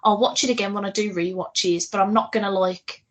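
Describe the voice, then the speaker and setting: A woman's voice: mid-range voice, fairly even intonation, brisk. A woman chatting casually as a podcast co-host, giggly and talkative, with a loose, sweary way of talking.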